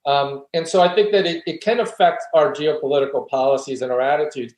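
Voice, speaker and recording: A man's voice: on the high side, brisk, a little mellow. Earnest man on an echoey line. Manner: earnest, explaining step by step. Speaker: guest philosopher. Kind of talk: conversational interview, reflective argument. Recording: roomy echo.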